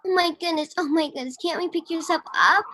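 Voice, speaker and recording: A young-sounding actress playing a kindly, motherly baker in a live radio drama, gentle and coaxing. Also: extremely high pitch, fairly quick, a little hiss or hum. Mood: urgent, coaxing. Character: kindly, motherly woman baker nursing a patient.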